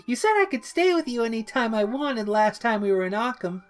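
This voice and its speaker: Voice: mid-range voice, sing-song, fairly quick, voice pushed up, lightly acted. A narrator voicing a bubbly, mischievous, childlike young villainess who exclaims, teases and pouts playfully in an audiobook-style story. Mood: sheepish. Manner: exclaiming, teasing, playfully pouty.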